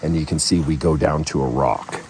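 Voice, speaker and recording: A man's voice: extremely low pitch, a little fast, vocal fry, darkish tone. A man giving a casual outdoor walkthrough as a guide, plain-spoken and keeping his voice down. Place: outdoors at a backcountry camp. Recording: distant mic, outdoor background noise.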